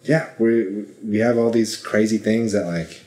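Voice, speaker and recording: A man's voice: on the low side, fairly even intonation, measured pace, darkish tone, echoey room. A young man from California hosting a casual podcast interview in an upbeat, chatty way, laughing easily with his guest. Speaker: podcast host.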